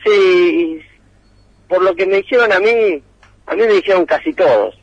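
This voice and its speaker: A man giving a meandering radio report by phone, pausing often on fillers. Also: sits high, no room sound, highs cut off. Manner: meandering, hesitant with many fillers.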